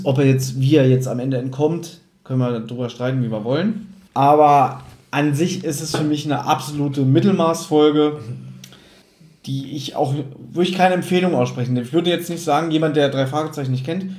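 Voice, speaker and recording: A man's voice: a little high, dark tone, roomy sound. A man chatting casually as a podcast host, giving blunt, opinionated reviews in colloquial language.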